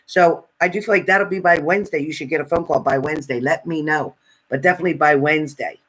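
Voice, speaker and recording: A man's voice: a little high, fast, fairly bright tone. A man hosting a casual live question-and-answer stream, giving spirit readings and chatting with viewers.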